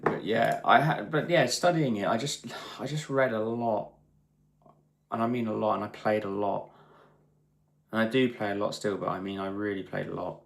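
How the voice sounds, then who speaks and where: British guitarist chatting casually in a roomy space. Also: male voice, lowish voice, moderate pace, vocal fry. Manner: informal, thinking aloud in short bursts. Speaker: guitarist hosting a live stream. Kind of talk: casual guitar teaching and chat. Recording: roomy echo with guitar playing.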